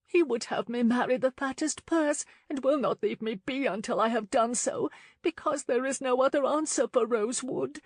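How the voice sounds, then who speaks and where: A proud young gentlewoman on the edge of tears, put on by a woman reading a storybook aloud. Her voice sits high and lifted above the narration, with an altered, breathier timbre. Close and dry. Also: normal speed, husky, no room sound. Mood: pleading. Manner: pleading, tearful and defiant. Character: distressed young English gentlewoman, proud and tearful.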